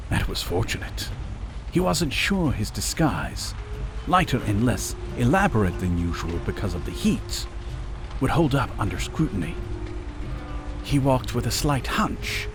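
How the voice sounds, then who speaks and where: A man narrating a scripted fantasy story in a dramatic, measured storytelling manner. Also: medium pitch.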